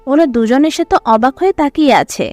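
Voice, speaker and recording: A woman's voice: high voice, expressive, fast, warm tone, dry recording, faint music behind. A woman narrator reading a scripted dramatic story.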